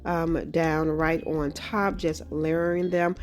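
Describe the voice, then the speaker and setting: A woman hosting a craft tutorial, slightly hoarse from a cold, talking in a friendly, chatty way with frequent ums. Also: sits low, little pitch movement, slow, soft background music. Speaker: craft channel host. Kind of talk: casual craft tutorial narration.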